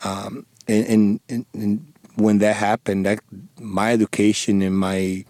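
A man in his forties from the Dominican Republic with a thick Dominican Spanish accent, a native Spanish speaker answering radio interview questions in a halting, earnest way with many restarts. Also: fairly low pitch, flat delivery, a little mellow, dry recording. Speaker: interview guest, former police sergeant.

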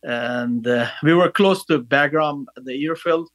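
A man talks through his past in a halting, filler-heavy way. His voice sits a little high for a man. The sound is dry and close, with no room echo. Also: a bit bright. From Afghanistan. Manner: halting, many fillers and restarts. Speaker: podcast guest. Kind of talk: casual interview storytelling.

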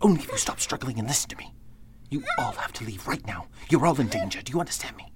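A man's voice: mid-range voice, lively intonation, fast, heavy background noise, natural voice. An actor playing a desperate man urgently warning of danger in an old-style radio play. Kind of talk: Golden Age style radio drama acting.

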